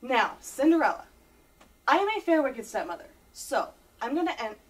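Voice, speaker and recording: Catty, high-voiced wicked fairy-tale stepsister. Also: female voice, big pitch swings, normal speed, breathy edge, distant mic, exaggerated voice. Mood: mock-sweet, mean. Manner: exaggerated mock-sweet and mean. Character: catty, preening wicked stepfamily women of Cinderella. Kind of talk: broad comic stage fairy-tale acting.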